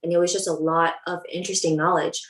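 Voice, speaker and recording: A woman's voice: on the low side, flat delivery, quick pace, fairly bright tone. A young woman from Canada, a dental hygienist, talking casually and upbeat with lots of ums. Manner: chatty, upbeat, many ums. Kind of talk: casual talk to a live audience. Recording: echoey room.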